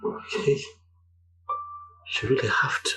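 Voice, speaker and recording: An actor playing a devoted husband who softly soothes and pleads with his distraught wife. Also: takes it slowly, faint music behind. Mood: pleading tenderly. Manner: soothing, pleading, soft-spoken. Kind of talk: film drama acting.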